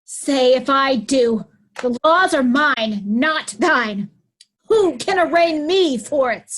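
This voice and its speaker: A woman plays a haughty, villainous queen from Shakespearean tragedy. The voice is extremely high and slow, imperious and defiant. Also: animated, fairly bright tone, exaggerated voice, rough recording. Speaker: amateur actor in a reader's theatre. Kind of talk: Shakespearean stage reading.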